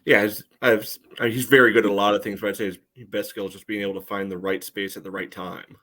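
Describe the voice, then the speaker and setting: Lowish-voiced man in casual sports chat. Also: flat delivery, fast, bright, forceful tone. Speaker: soccer podcast co-host.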